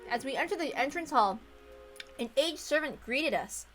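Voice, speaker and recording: Casting: female story reader, fairly high and bright, scripted narration with chatty asides, faint music behind. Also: animated, normal speed. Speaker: narrator and reader of a visual novel.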